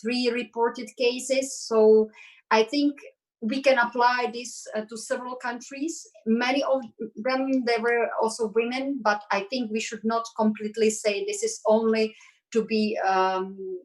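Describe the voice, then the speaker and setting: Czech-born woman, earnest and halting. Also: a little high, steady tone, rough recording. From Czech Republic. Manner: earnest, hesitant with many fillers. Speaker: panel guest. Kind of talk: online panel discussion. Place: Belgium.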